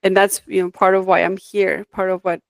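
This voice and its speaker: A woman's voice: mid-range voice, little pitch movement, fairly quick, creaky, no echo. Reflective woman from Mexico, dry sound. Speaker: guest, life design coach. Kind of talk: conversational interview, personal storytelling.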